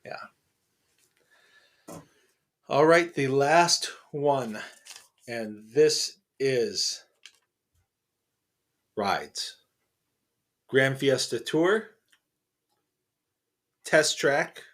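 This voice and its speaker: A man's voice: mid-range voice, expressive, drawn-out words, pauses often, fairly bright tone. Middle-aged male co-host, casual and rambling, fills gaps with ums. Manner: rambling, many fillers and restarts. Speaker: podcast co-host. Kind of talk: casual chat.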